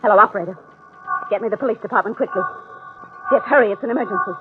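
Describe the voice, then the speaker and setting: Actress as a scheming murderous wife, tinny old radio. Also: female voice, racing, music in the background, natural voice. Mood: feigned panic. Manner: theatrical, commanding, swinging from hushed menace to shrill. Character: cold scheming murderous wife, jealous and nervy. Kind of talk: old-time radio horror drama acting.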